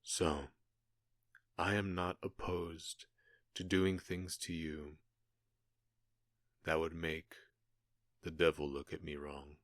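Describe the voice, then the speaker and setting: Casting: male actor for menacing gang boss in ASMR roleplay, deep-voiced, taunting and falsely polite, very close dry recording. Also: flat delivery, fairly bright tone, dry recording. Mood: coldly threatening. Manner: mock-polite, taunting, deliberate pauses.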